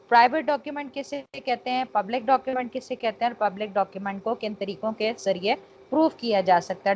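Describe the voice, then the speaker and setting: A woman with a Pakistani accent teaching law in an online lecture, explaining with English terms mixed in and checking that students follow. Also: on the high side. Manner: checks understanding, frequent tag phrases. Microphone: online call. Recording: dull, noisy online call audio.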